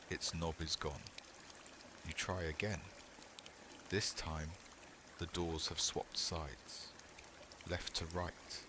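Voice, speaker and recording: A man reading a scripted second-person horror story in ASMR style, hushed and measured, in short phrases with long pauses. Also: extremely low pitch, background noise. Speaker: horror sleepcast narrator.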